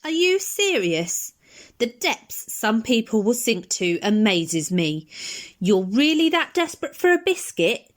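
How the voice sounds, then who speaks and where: A woman's voice: a little high, big pitch swings, unhurried. A woman playing an indignant, disapproving stranded passenger in an amateur radio drama, scolding and incredulous.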